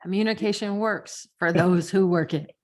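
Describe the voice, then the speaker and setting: A woman co-hosting and coaching on a podcast in casual, giggly conversation, repeating words for emphasis. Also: mid-range voice. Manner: giggly, laughs often between phrases. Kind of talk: casual podcast teaching chat.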